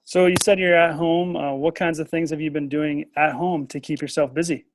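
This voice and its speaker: A man's voice: on the high side, steady tone, moderate pace, no echo, low-quality recording. An American man hosting a casual interview. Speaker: interview host.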